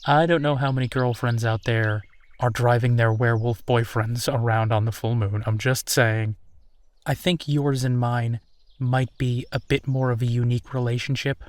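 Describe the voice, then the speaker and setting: A man playing an easygoing, playful werewolf boyfriend in a roleplay audio, talking casually and haltingly with a self-deprecating streak. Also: medium pitch, steady tone, no room sound, close to an everyday voice. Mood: fond, grateful. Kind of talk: intimate boyfriend roleplay audio, improvised-sounding one-sided conversation.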